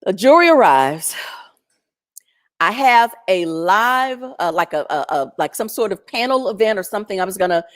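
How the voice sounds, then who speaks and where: A woman telling a personal story in a chatty, animated way, speaking over a video call in a strong, loud voice. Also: mid-range voice, moderate pace. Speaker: featured guest. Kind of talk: storytelling testimony.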